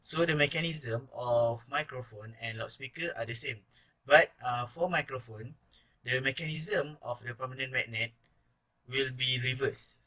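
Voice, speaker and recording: Young malaysian student guy reading his class presentation, bright sharp voice. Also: medium pitch, normal speed, rough recording. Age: young adult.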